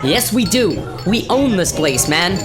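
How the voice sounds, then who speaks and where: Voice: mid-range voice, sing-song, a little slow. An actor playing a level-headed narrator and crew leader in a scripted sci-fi radio play. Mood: pleased. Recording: echoey reverberant sound with music behind.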